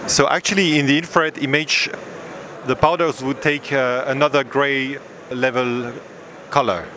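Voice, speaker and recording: A middle-aged man interviewing in a halting, hesitant way at a trade show, speaking into a handheld microphone. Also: mid-range voice, fairly even intonation, pushed and bright. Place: busy exhibition hall booth. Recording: noisy trade fair hall ambience.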